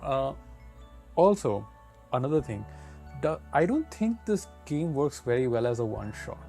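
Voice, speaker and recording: A male interviewer with a mellow, relaxed voice chats casually at a middling pitch. He thinks aloud as he goes, and faint music plays behind him. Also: moderate pace. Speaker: interviewer, host.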